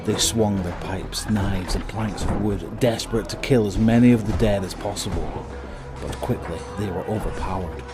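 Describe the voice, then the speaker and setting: Low-voiced man narrating horror drama. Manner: measured, suspenseful. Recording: voice over prominent music.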